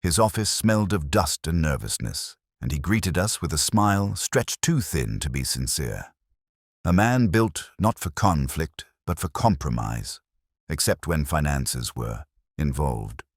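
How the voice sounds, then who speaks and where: A man reading first-person detective fiction in a measured, literary, melancholic and introspective manner. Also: a bass voice, big pitch swings, dry recording, clean studio sound. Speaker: narrator of a detective story. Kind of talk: first-person scripted mystery narration.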